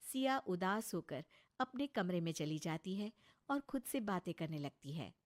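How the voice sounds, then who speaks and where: A woman's voice: lowish voice, expressive, a little slow. A woman narrating a scripted story as a storyteller.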